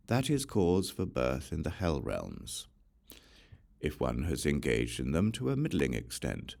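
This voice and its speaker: Male audiobook reader, very deep voice, lively intonation, solemn measured delivery. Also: gravelly. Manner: slow, solemn and deliberate. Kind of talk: measured scripture reading.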